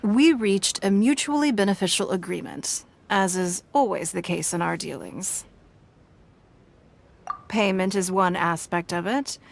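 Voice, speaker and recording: A woman voicing a composed, shrewd, elegant businesswoman in a video game, speaking in a formal, measured, precise way. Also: medium pitch, vocal fry. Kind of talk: scripted game dialogue.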